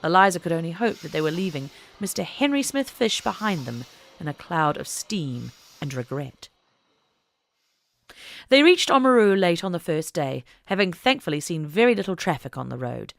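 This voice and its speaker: Female audiobook narrator, slightly low register, very lively intonation, expressive dramatic storytelling. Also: moderate pace, creaky, no room sound, clean studio sound. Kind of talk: scripted dramatic fiction narration.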